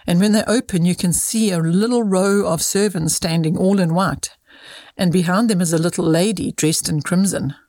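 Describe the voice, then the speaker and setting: A woman reading as a kindly older male cousin who tells children moral tales in an instructive, measured way. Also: lowish voice, steady tone, a little mellow, no room sound, clean studio sound, lightly acted. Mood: patient, mysterious.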